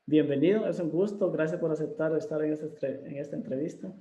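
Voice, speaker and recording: A man's voice: on the high side, quick pace, mellow, muffled recording, slight background noise. Hesitant man hosting an interview, echoey room. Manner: hesitant, many fillers and restarts. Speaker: interview host. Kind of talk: casual video interview.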